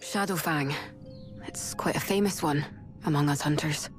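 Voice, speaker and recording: An actress playing a pragmatic, determined mercenary hunter in a video game cutscene, wry and matter-of-fact. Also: female voice, low voice, moderate pace, music in the background, natural voice. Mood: grim resolve.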